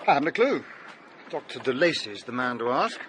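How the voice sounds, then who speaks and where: An actor playing an anxious young English gentleman in a radio drama, earnest, well-spoken and a little hesitant. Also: medium pitch, big pitch swings, fairly quick, slight background noise. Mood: conversational. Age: young adult.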